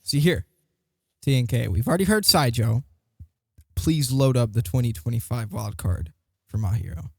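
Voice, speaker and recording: Man, medium pitch, dry sound, casual podcast commentary, slangy, hesitant phrasing. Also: animated, normal speed, vocal fry, long pauses, a little mellow, dry recording. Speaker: podcast panelist. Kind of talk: casual chat, opinionated commentary on a competition.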